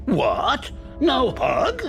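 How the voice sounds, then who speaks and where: A man's voice: high-pitched, huge pitch swings, extremely slow, vocal fry, fairly bright tone, in a large hall, far from the mic, soft background music, exaggerated voice. A man voicing a manic, impish clown villain in a cartoon video game, sly, playful and theatrical. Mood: playful, mischievous.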